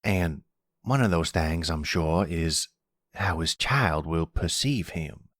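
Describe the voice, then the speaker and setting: A man playing an older father and mob boss who is gentle, regretful and reassuring, in a measured, soft-spoken ASMR roleplay style. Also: sits low, no echo. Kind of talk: intimate ASMR roleplay acting.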